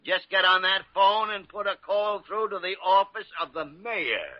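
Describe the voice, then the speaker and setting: A man's voice: sits high, moderate pace, dry recording, lightly acted. An older American man acting in a classic radio drama as a crusty, sly senior doctor, blustery and teasing, often repeating his words. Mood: irascible, blustery. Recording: muffled old radio recording.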